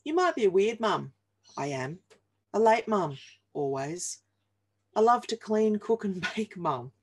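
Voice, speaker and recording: An Australian woman in her forties reads humorous verse at an unhurried pace. Her delivery is expressive and deliberate, with a wry, playful touch. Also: mid-range voice, husky. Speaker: reader of a humorous picture book. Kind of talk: expressive read-aloud of comic rhyming verse. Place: small home office, indoors.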